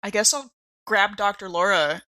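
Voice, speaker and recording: A woman's voice: mid-range voice, moderate pace, pushed and bright. A woman playing a tabletop roleplaying game, bantering and reacting casually.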